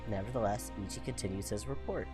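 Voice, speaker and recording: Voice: mid-range voice, fast. A playful, chatty streamer giving casual game commentary, musing aloud and reading the text out. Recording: game music under the voice. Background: game music.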